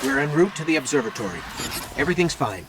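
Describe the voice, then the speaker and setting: A man playing a small, eager robotic companion in a video game, loyal and a little anxious, reporting crisply and expressively. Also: on the high side, sing-song, fast talker, very noisy. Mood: dutiful. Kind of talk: video game cutscene acting.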